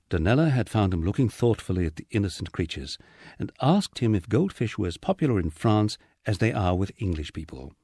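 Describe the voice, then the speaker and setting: Low-voiced man, very animated storytelling. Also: no echo, clean studio sound. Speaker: audiobook narrator. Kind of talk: scripted narration of a humorous memoir.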